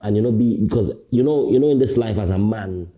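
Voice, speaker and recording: A man with a low, very dark voice, talking candidly as a podcast guest. He speaks in loose street slang, restarting his sentences and checking in with "you get me" as he goes. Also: brisk. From Caribbean. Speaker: guest, artist turned talent manager. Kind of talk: casual podcast interview.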